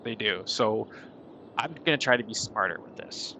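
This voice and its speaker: Gravelly stuffy-nosed man chatting while gaming. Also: on the low side, expressive, normal speed, sharp tone, a little hiss or hum. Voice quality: nasal. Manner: rambling, chatty, self-deprecating. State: stuffy with a head cold. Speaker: gaming streamer. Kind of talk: casual gameplay commentary.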